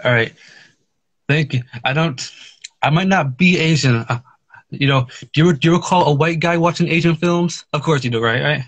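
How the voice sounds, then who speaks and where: A man's voice: fairly high pitch, normal speed, a bit bright. A man calling in to a chat show, talking with a slight stutter in a hesitant, apologetic way that repeats itself, over a call line that breaks up. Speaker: caller.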